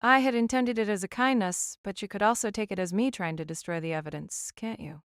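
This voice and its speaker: Woman playing a breezy, menacing old noblewoman, smooth-voiced. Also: medium pitch, brisk, no room sound, clean studio sound, lightly acted. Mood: coy amusement. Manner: breezy, teasing, nonchalant. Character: shrewd, casually menacing old noblewoman mage, a realist. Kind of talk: audiobook character acting.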